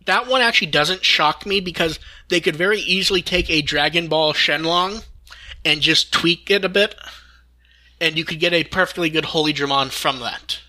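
A man's voice: fairly high pitch, moderate pace, sharp tone. A man hosting a casual fan news discussion, talking in an enthusiastic, rambling way and occasionally swearing. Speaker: podcast host.

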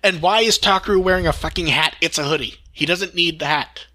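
Male American podcast host, bright and fairly high voice, casual, animated, swears freely. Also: brisk. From California, United States.

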